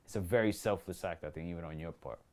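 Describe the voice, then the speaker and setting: A man's voice: low voice, quick pace, darkish tone. A man with a New York accent chatting casually as an actor being interviewed, slangy and repetitive, often acting out remarks as he goes. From New York City. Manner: slangy, repeats himself, acts out quoted remarks.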